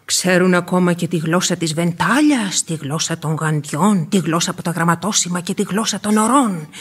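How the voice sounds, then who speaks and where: A woman's voice: fairly low pitch, husky. An actress playing a garrulous, fussy middle-aged mother in a theatrical radio play. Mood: fussy, dramatic. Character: chatty, complaining middle-aged mother of spinster daughters.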